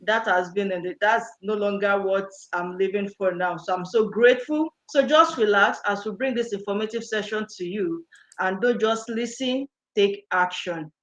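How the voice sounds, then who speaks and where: A woman's voice: medium pitch, moderate pace, low-quality recording. A woman from Nigeria, a nurse, co-hosting a live online webinar in a cheerful, motivational way. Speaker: co-host, nurse.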